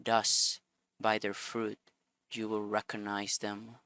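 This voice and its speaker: A sniffly man with a bright, crisp voice reads scripture and then shares his thoughts in an earnest, loose way, often stopping to find the right words. The sound is close and dry, with no room around it. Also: on the low side, completely flat delivery, slow pace, dry recording. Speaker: Bible video host. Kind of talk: scripture reading then casual reflection.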